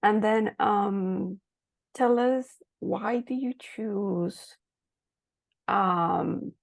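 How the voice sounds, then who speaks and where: A woman hosting a podcast, asking questions in a deliberate way and searching for words as she goes. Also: mid-range voice, creaky, dry recording. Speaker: podcast host. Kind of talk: interview questions.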